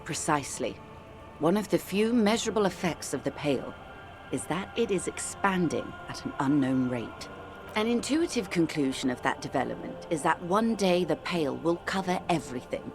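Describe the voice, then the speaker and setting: Middle-aged businesswoman, low voice, composed, articulate, world-weary, video game dialogue, soft music behind. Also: expressive, moderate pace. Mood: measured, lecturing. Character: composed, wealthy middle-aged female corporate negotiator.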